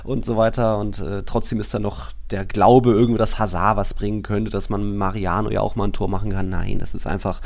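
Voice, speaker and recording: A man with a fairly low voice talks at a quick clip as a sports podcast host. His delivery is casual, colloquial and full of opinion. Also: level tone, vocal fry, darkish tone.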